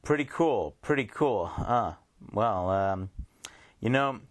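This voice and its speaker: A man's voice: lowish voice, animated, slow pace. A man hosting a podcast in a casual, rambling, laid-back way. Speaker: podcast host. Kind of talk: casual, unscripted chat.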